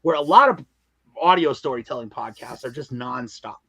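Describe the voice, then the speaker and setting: A man's voice: medium pitch, expressive, normal speed, pushed and bright, husky, no echo. A man with a mid-range voice talks casually as a podcast guest. He leans hard on points, repeating phrases in informal, slangy wording. The sound is dry and close. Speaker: guest, audio drama creator and narrator. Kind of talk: casual podcast interview chat.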